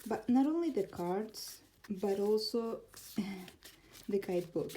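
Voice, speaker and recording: Laughing Argentine woman, slow and mellow. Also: medium pitch, animated, poor audio. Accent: Argentine Spanish. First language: Spanish. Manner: chatty, laughs often, warm enthusiasm. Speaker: tarot vlogger. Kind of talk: casual chat showing tarot decks. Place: home room, neighbor's car heard outside.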